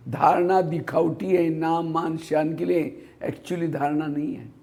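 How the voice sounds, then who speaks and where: A man's voice: sits high, gravelly, darkish tone. A man giving a spiritual class, telling anecdotes and asking rhetorical questions that he answers himself. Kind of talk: Hindi spiritual discourse.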